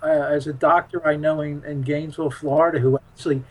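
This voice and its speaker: Man, somewhat high pitch, halting delivery, casual interview guest, searching for words. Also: flat delivery, low-quality recording. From United States. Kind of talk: casual unscripted interview chat.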